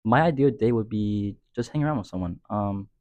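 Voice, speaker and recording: A man's voice: on the low side, a little fast, a little mellow, dry recording, muffled recording. A young college man giving laid-back, easygoing interview answers. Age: young adult. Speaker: contestant.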